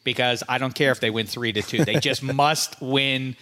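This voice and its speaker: An American man in casual sports betting banter, talking in an animated, rambling, teasing way. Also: mid-range voice, moderate pace. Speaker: sports talk show panelist.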